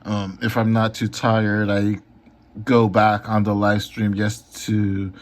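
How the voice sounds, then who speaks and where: A man talking casually in a vlog about his comics and live streams, unhurried, with many ums. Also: lowish voice, monotone. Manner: unhurried, lots of ums and filler. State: a little hoarse. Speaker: comic artist and YouTuber.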